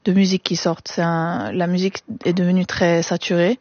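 Hesitant woman singer, lowish voice. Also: little pitch movement, a little fast, a little mellow, no echo. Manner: hesitant, many restarts, mixes in English words. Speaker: singer and guest. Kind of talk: radio interview. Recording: dull, dry studio sound.

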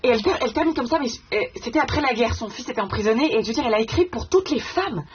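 Actress playing an earnest, high-voiced woman writer. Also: lively intonation, racing, highs cut off, slight background noise, close to an everyday voice. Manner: hesitant, searching, breaking off sentences. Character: earnest woman writer haunted by village women's stories. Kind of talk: naturalistic stage acting, conversational.

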